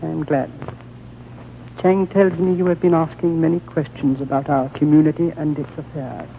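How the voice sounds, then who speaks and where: An actor playing an ancient, frail High Lama who speaks slowly and courteously, in 1930s radio drama style. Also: male voice, steady tone, gravelly. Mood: gentle. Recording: tinny old radio broadcast, faint hiss.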